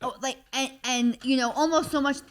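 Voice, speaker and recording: A woman co-hosting a casual talk show, with a loud voice, outspoken and reflective. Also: sits high, steady tone, brisk. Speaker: podcast co-host. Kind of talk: casual chat.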